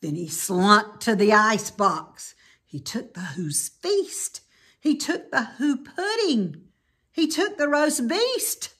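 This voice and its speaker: Animated, high-voiced woman reading a storybook. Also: husky. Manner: dramatic emphasis with deliberate pauses. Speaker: storybook reader. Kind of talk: expressive children's story narration.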